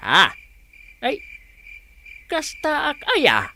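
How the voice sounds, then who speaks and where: A stingy, grumbling older uncle in an Ilocano radio comedy drama. His Filipino-accented voice is forceful and bright, with very wide swings in tone. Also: extremely high pitch, wildly swooping pitch, very slow, vocal fry, slight background noise, heavily acted. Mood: flustered, grumbling. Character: grumpy, stingy older village uncle looking for love.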